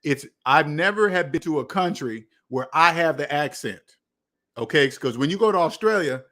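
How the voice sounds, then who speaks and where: Higher-pitched American man livestreaming. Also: animated, normal speed, a bit bright. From United States. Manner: rambling, repeats phrases for emphasis. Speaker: livestream host. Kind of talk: casual livestream chat, answering viewer comments.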